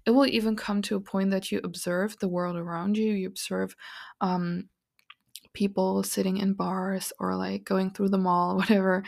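A woman hosting a spiritual podcast, talking in an earnest, encouraging, rambling way with a raspy voice. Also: mid-range voice, a little mellow. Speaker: podcast host and psychic reader. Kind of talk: casual spiritual podcast monologue.

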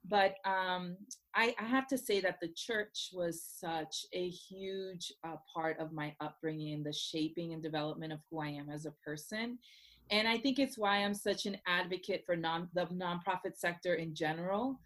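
A woman from Puerto Rico who grew up in Florida, a consultant talking as a podcast guest in a chatty, animated way, laughing easily. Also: on the low side, moderate pace. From Puerto Rican, grew up in Orlando, Florida. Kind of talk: casual interview conversation.

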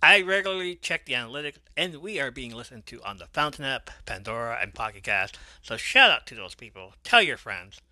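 A man hosting a podcast, giving relaxed, rambling shout-outs to listeners and reading out their names in a voice he says people find soothing. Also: medium pitch, a little fast, hardly any pauses, no echo. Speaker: podcast host.

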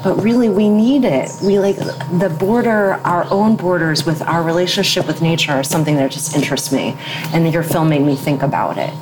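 Low-voiced woman, musing interviewer. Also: normal speed. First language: English. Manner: thoughtful, musing, many fillers and rhetorical questions. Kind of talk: reflective interview conversation. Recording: noticeable background noise.

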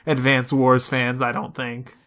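A man's voice: medium pitch, monotone, a little slow, a bit bright. A man co-hosting a podcast, talking through video games in a conversational, reasoned way with asides. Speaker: podcast co-host. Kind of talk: casual video game discussion.

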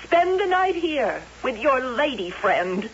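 An actress playing a jealous, bitter carnival dancer in a radio drama. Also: female voice, sits high, sing-song, takes it slowly, music in the background, heavily acted. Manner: sulky, bitter, needling. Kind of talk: 1970s radio drama acting. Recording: hissy, dull old radio broadcast.

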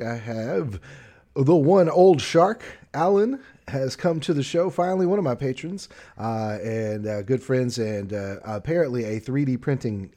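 A man's voice: medium pitch, mellow. An American man hosting a casual interview podcast, talking in a rambling, hesitant way. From Arkansas, United States. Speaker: podcast host.

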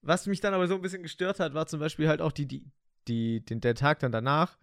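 Young male podcast co-host, slangy and excitable, slightly high voice, dry close sound. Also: fast talker, gravelly, no room sound. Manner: slangy, excitable, laughs often. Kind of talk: casual chat.

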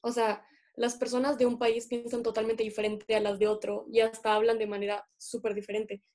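A young woman from Colombia talks through a casual podcast in an earnest, filler-heavy way. Her voice sits a little high with a soft, mellow tone. It sounds like a remote call recording. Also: little pitch movement, a little fast. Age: young adult. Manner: chatty, earnest, with many fillers. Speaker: podcast co-host.

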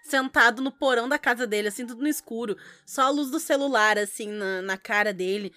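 Woman, podcast co-host, high pitch, dry close sound, playful mock outrage. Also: fairly quick, bright, forceful tone, no echo. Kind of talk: casual podcast chat.

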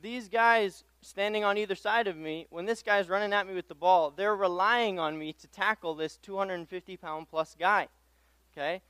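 A man's voice: sits high, moderate pace, pushed and bright, clean tone, no room sound. A young man from Tanzania giving an informal, conversational sermon, casual and self-deprecating, often repeating his phrases. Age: young adult. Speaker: pastoral intern preaching as guest speaker. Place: church pulpit.

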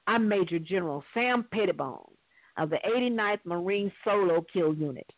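A stern, self-important major general from a story, voiced by the reader, gives formal commands. The voice is medium in pitch and heard through a narrow phone-like line. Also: lively intonation, moderate pace, no room sound. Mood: stern, threatening. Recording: thin, dry phone-line sound.

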